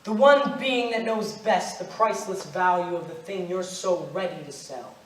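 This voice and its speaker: Young male actor as Judas-like accusing spirit: high voice, solemn and deliberate, amateur stage drama, picked up from across a roomy space. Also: natural voice. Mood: ominous, mournful. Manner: solemn, deliberate, declamatory storytelling. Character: Judas, ghostly accusing stranger, eighteen centuries old, grave and relentless. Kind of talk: amateur stage play acting. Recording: roomy, noisy stage recording from far off.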